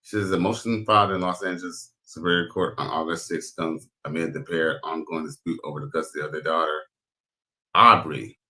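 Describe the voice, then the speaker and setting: A man with a very deep baritone giving loud, crude, slangy reaction commentary as host. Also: steady tone, fairly quick, low-quality recording.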